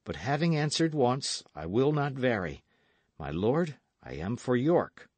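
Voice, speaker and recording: A man voicing a bold, honest and courteous young gentleman of old England in an audiobook, speaking earnestly and formally. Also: mid-range voice, sing-song, a little slow, no room sound. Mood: steadfast. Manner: earnest, formal archaic speech.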